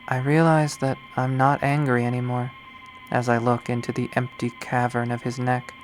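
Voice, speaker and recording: A man reading a visual novel aloud, voicing an obsessive, lovesick young woman who has turned killer, in a slow, hushed, brooding delivery. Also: mid-range voice, little pitch movement, soft background music, natural voice, poor audio. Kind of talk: dramatic first-person readthrough.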